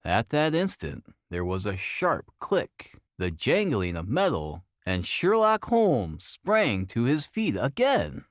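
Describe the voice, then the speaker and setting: A man reading a classic novel as scripted narration, in a formal, expressive storytelling manner. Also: medium pitch, slow pace, few pauses, no room sound, studio quality. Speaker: audiobook narrator.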